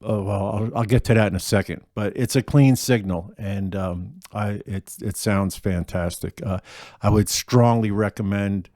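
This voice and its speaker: A middle-aged man talks casually with a deeper, mellow voice. He rambles with lots of ums and restarts. The sound is dry, with no room to it. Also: fairly even intonation, moderate pace, no room sound. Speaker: gigging acoustic musician. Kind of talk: casual gear rundown.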